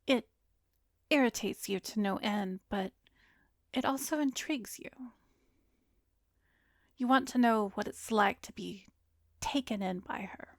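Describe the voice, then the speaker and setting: Woman acting calm lady therapist, asmr roleplay, gentle n professional, slips into whispering, close dry mic. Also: medium pitch, no echo, lightly acted. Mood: probing, insinuating. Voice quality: soft, often slipping into breathy whisper. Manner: measured, gentle, professional, probing. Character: composed, soothing female therapist, secretly an arrogant vampire. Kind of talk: ASMR roleplay, addressed to the listener. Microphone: close studio microphone, dry.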